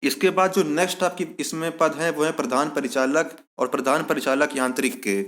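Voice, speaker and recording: A man with a slightly high voice. He explains official details step by step like an online instructor, restating key points so they stick. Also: fairly even intonation, a little fast, a bit bright. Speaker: exam information channel host. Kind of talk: explanatory walkthrough of a recruitment notice.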